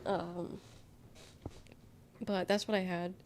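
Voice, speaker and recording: A young woman talks loosely to her stream audience while she is sick. Her voice is nasal with a gravelly fry underneath, and her speech wanders as she reads chat questions and answers them. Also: lowish voice, flat delivery, slow pace, a little mellow, a little hiss or hum. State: sick, congested voice. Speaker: livestreamer. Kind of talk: casual chat with viewers.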